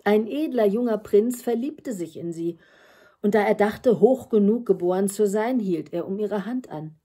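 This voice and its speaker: A woman's voice: medium pitch, lively intonation, moderate pace, dark tone, slightly rough. An older woman reading a fairy tale expressively for children. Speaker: storyteller. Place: room with bookshelves at home.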